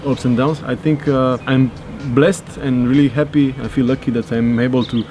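Man, 30s, non-native English, mid-pitched, casual hesitant vlogger, outdoors with clear urban background noise. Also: fairly even intonation, normal speed. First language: not English. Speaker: vlogger and handball player. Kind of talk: casual vlog chat. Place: outdoor rooftop terrace. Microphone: on-camera shotgun mic at short distance.

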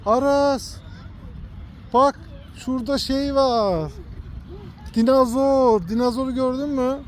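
A man's voice: very high voice, slow pace, slight background noise. Father, casual phone-filmed vlog at an outdoor park; patient, coaxing tone aimed at a toddler. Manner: gently coaxing, points things out to a small child. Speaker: father filming his son. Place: outdoor park with cartoon statues.

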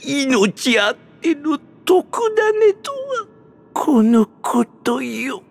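A man voicing a huge boastful villainous monster gang boss in a video game, with a bullying, theatrical, rough gangster way of talking. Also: extremely high pitch, big pitch swings, drawn-out words, heavily acted. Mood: defeated, pained. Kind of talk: video game cutscene acting. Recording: music behind the voice.

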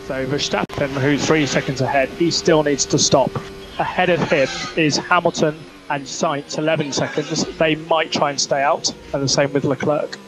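A man working as a race engineer, giving terse, clipped technical instructions over team radio. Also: a little high. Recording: noisy motorsport team radio.

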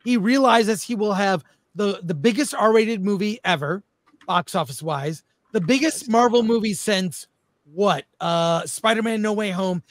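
A man with a notably high voice hosts a casual panel discussion. He states his opinions with emphasis, and the sound is very dry, without room echo. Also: measured pace. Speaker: host of a film debate show.

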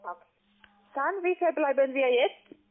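A call-centre saleswoman with a foreign accent and a very high voice. She speaks haltingly and keeps checking in with short questions. The sound is a narrow, muffled phone line. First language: not German.

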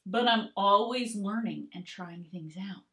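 A woman art teacher reading aloud and talking to young children in an expressive, gentle, encouraging manner. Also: medium pitch, measured pace. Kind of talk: expressive children's storybook read-aloud and lesson.

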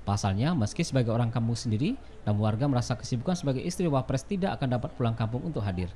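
A man reading a scripted news report as a voice-over in a brisk, formal way. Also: mid-range voice, lively intonation, dark tone.

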